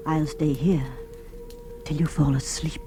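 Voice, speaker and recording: An actress playing a soothing, pitying woman who comforts with drawn-out phrases in an old radio horror drama. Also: deep voice, steady tone, over music. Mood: soothing, uneasy. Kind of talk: 1940s radio drama acting.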